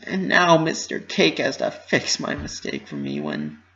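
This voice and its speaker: Storybook young mare, played by a man: anxious, self-blaming, pleading, pitched well up, slightly dull recording. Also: wildly swooping pitch, measured pace, gravelly, bright, forceful tone, exaggerated voice. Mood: upset, self-blaming. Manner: self-critical, pleading, emotional delivery. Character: anxious, guilt-ridden young female pink pony.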